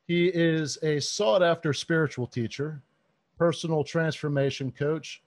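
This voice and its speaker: A man's voice: on the high side, darkish tone. A man hosting a podcast, reading his guest's introduction and then asking questions with frequent fillers. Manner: reads a guest bio, then chats with fillers. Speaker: podcast host.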